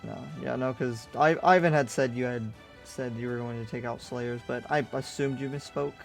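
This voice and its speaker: Over light music, a man plays an easygoing, agreeable friend in an anime video game roleplay. His voice sits at a middle pitch, and he talks casually, tentative and reassuring. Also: steady tone, normal speed, vocal fry, natural voice. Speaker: roleplay player. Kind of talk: casual improvised Minecraft roleplay dialogue. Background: background music.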